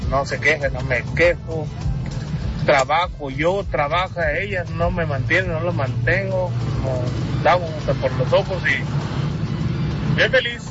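Slow-talking northern Mexican man in his thirties. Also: fairly high pitch. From Monterrey area, Mexico. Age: 30s. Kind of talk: casual personal testimony. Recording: muffled lo-fi radio audio over music.

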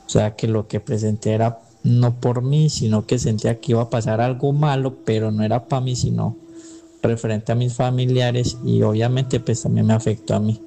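Mellow-voiced Colombian man calling in. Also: medium pitch, flat delivery, normal speed, gravelly. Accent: Colombian Spanish. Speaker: caller to a radio show. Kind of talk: casual personal storytelling.

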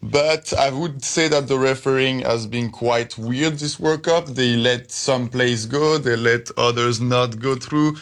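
A man answers questions as a football supporter in a live interview. His voice sits at a middle pitch and his talk is casual and conversational. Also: measured pace, few pauses, fairly bright tone. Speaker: French football supporter guest.

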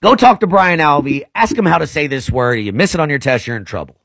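A man in his forties from Indianapolis, hosting a casual radio talk show in an emphatic, animated way. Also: a little high, quick pace, gravelly, no room sound. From Indianapolis, United States. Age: 40s. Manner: animated, emphatic, says dude a lot. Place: radio studio.

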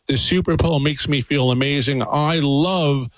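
A man's voice: a little high, unhurried, dry recording. A man appearing as a doctor guest on a radio program, talking casually with fillers while praising a product. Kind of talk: radio interview pitch.

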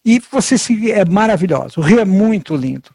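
A man's voice: high voice, lively intonation, a little fast, gravelly, a little mellow, breathy edge, no echo. A middle-aged man with a Brazilian accent, chatting casually as an interview guest and telling running anecdotes with emphatic repetition. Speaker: interview guest, veteran marathon runner.

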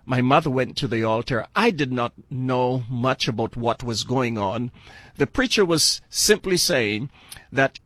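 An older man from Barbados, a radio guest telling his life story in an earnest, halting way. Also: mid-range voice, animated, fairly bright tone, no room sound. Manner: earnest, halting with fillers and restarts.

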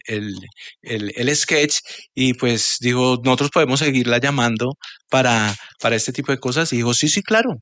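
A man's voice: mid-range voice, fairly bright tone, no echo. A man recounting show history, precise with names and dates. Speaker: comedian guest.

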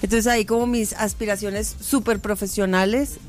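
A woman's voice: mid-range voice, fairly even intonation, moderate pace, faint music behind. A woman in her fifties with a Paisa Colombian accent, a radio guest telling stories in a chatty way. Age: 50s. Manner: chatty storyteller, acts out quoted voices. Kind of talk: casual radio interview.

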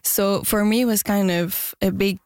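A woman's voice: medium pitch, steady tone, normal speed, dry recording, clean studio sound. Shy woman musician talking. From Quebec, Canada. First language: French and Spanish. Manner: soft-spoken, hesitant, many fillers and restarts. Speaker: musician guest. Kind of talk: interview answers.

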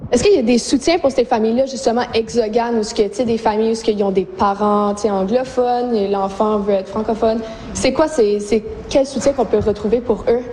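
A woman's voice: fairly high pitch, brisk, mellow. A woman with a Canadian French accent casually moderating a group discussion in an echoey room. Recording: roomy echo, faint background noise.

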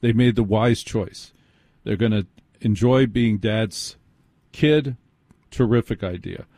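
An American man hosting a live call-in radio show, blunt and sardonic, quick to cut in. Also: on the low side, dark tone, no echo, clean studio sound.

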